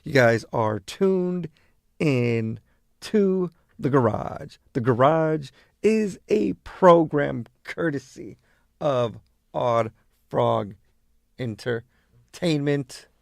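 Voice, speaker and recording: A man's voice: medium pitch, expressive, extremely slow, vocal fry, a little mellow, dry recording, clean studio sound. A man from Southern California hosting as a radio disc jockey, chatting in a laid-back, rambling, hesitant way. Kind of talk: laid-back radio chat between records. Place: garage.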